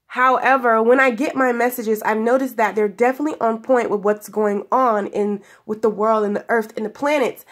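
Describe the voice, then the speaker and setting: A woman's voice: a little high, fairly bright tone. Giggly woman with a stuffy nose. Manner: affectionate, giggly, drawn-out phrases. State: stuffy from sinus trouble. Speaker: spiritual guidance vlogger. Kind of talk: casual spiritual talk to viewers. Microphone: camera in front of her.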